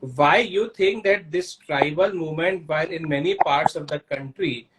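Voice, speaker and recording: A man's voice: on the high side, moderate pace, heavy background noise. A man hosting a conversation about books, who asks long, winding questions full of fillers. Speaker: host.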